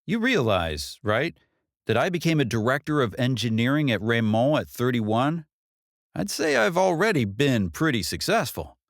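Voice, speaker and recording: A man's voice: mid-range voice, very animated, moderate pace, no echo, studio quality, voice pushed up. A man voicing a confident, defensive grown daughter in a story, speaking in an earnest, pointed way.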